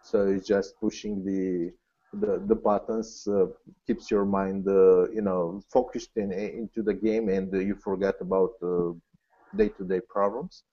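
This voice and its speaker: Male guest, low-voiced, searches for words, muffled web-call sound. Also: flat delivery, measured pace, mellow. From Romania. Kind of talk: casual interview chat.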